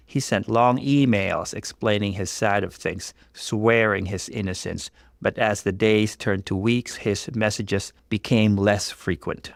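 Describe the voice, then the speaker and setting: Creaky, low-voiced man narrating a story. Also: dry recording, clean studio sound. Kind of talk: dramatic scripted first-person story reading.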